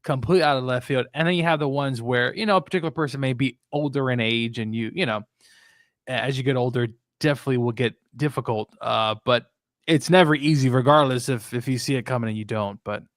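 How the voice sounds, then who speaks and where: A man co-hosting a casual sports chat, talking in a reflective, halting, conversational way. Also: medium pitch, no room sound, clean studio sound. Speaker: sports show co-host.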